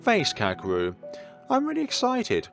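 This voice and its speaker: A man voicing a shy, excitable teenage girl musician in a visual novel, gushing and a little hesitant. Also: sits high, measured pace, vocal fry, music in the background, voice pushed up, exaggerated voice. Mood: excited.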